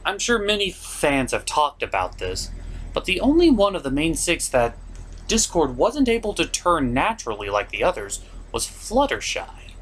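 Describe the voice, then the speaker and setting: A man hosts a casual cartoon review in a very animated voice. His delivery is theatrical and emphatic, pitched a little high for a man. Some noise sits behind the voice. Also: moderate pace. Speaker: cartoon reviewer and show host. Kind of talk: casual scripted review commentary. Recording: noticeable background noise.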